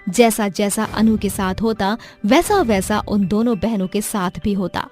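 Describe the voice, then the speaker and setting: A woman's voice: medium pitch, fairly even intonation, a little slow, a little mellow. Woman narrating a story over music. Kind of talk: scripted dramatic story narration. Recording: music behind the voice.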